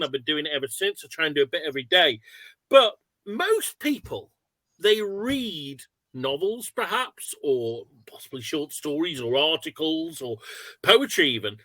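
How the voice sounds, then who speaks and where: A man's voice: high voice, pushed and bright, no room sound, rough recording. A man hosting an online webinar in a casual, rambling, conversational way. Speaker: webinar host. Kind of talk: casual chat, informal hosting. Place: online video call.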